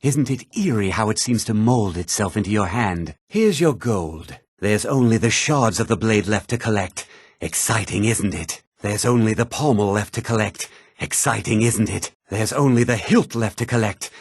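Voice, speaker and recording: A man playing a self-important, proud and scheming townsman in a fantasy video game, speaking in a theatrical, formal and deliberate way with a husky voice. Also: lowish voice, no room sound, studio quality, heavily acted. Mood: pleased, eager. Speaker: video game character voice actor.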